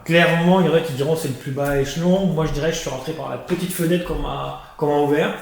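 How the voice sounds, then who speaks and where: Animated man recounting his career, echoey room. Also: a little high, fast talker, gravelly. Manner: animated storytelling with many restarts and fillers. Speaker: guest. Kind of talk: conversational life-story interview.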